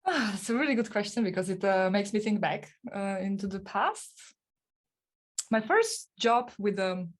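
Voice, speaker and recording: A woman's voice: mid-range voice, lively intonation, moderate pace. A young woman, a podcast guest, answering interview questions casually with many fillers and restarts. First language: not German.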